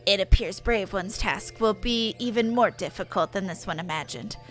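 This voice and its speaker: A woman voicing a stern, weary forest-spirit elder from a fantasy game, speaking in measured, formal third person. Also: mid-range voice, fairly bright tone, faint music behind, pitched below the performer's own voice, natural voice. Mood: stern, solemn. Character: stern, weary sylph elder giving solemn orders.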